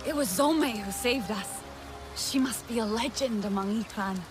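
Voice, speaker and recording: A woman voicing an earnest young alien heroine from a video game, her voice pitched a little high. She sounds concerned and sincere, with a strong musical score behind her. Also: measured pace, music in the background, natural voice. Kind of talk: video game cutscene acting.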